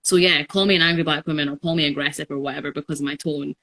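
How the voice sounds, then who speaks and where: Low-voiced young woman, reflective talk. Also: fairly even intonation, racing, fairly bright tone. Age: 20s. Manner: thoughtful, reflective, many fillers. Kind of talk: casual conversation.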